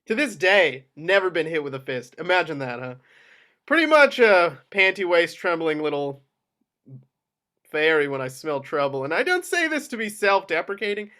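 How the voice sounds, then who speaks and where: A man's voice: a little high, very animated, moderate pace, pushed and bright. A man playing a self-deprecating, jittery mob attorney in his forties, half drunk and rambling, at a script table read. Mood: rueful, confessional. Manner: rambling, stammering. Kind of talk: amateur screenplay table read.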